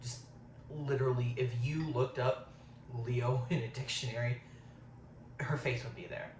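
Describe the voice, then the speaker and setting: A man in his thirties talks casually while eating on camera. He rambles from topic to topic, fills gaps with um, and keeps an everyday middle pitch. He sits back from the mic in an echoey room with a faint hiss. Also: steady tone, a little slow. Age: 30s. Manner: rambling, says um a lot. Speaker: vlogger. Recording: roomy, distant, faint hiss.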